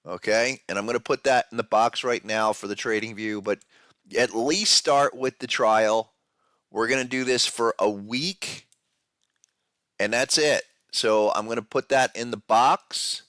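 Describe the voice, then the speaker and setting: Low-voiced man with a head cold. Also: a bit bright, dry recording, clean studio sound. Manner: casual, loose, with fillers and restarts. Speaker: podcast host. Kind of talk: live-stream market analysis chat.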